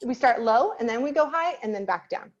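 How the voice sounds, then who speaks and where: A fairly high-pitched young woman teaching singing over a video call, warm in her encouragement and chatty, with plenty of "um" and "like". The sound is dull and lo-fi, as if through a laptop connection. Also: expressive, a little fast. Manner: encouraging, chatty, many fillers. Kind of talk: online group singing class.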